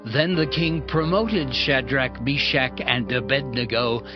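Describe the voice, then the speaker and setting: A man narrating dramatized scripture in a solemn, measured and deliberate way. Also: medium pitch. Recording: dull band-limited audio under loud music. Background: loud dramatic music.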